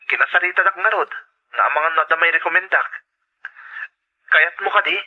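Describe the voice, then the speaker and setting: An actor playing a hardworking, anxious and affectionate young husband in an audio drama, speaking earnestly. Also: male voice, fairly high pitch, fairly quick, narrow, tinny audio, natural voice. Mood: affectionate, concerned. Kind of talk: Ilocano audio drama dialogue.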